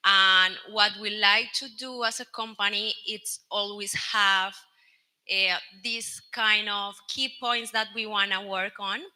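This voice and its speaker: A woman from Colombia speaks on a business panel with a clear Colombian accent, choosing her English words carefully. Her voice is very bright and she keeps an even, flat melody. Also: medium pitch, slow, poor audio. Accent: Colombian Spanish. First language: Spanish. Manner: careful non-native English with hesitations. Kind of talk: panel discussion answer.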